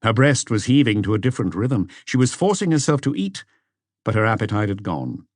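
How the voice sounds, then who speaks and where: Low-voiced, expressive male audiobook narrator. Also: a little fast, dry recording, clean studio sound. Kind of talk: scripted mystery novel narration.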